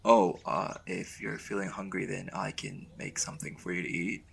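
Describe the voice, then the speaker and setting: Very deep, gravelly young boyfriend, acted by a man. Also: full character voice. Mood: nervous. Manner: soft, halting, stammering when flustered. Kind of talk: intimate romantic audio roleplay, ASMR.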